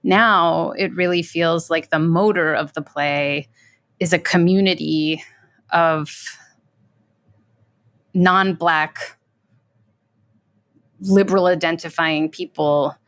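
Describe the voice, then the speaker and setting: A person reflecting in a discussion, choosing phrases carefully and in a measured way. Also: medium pitch, vocal fry, a bit bright, dry recording. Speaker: theater discussion panelist.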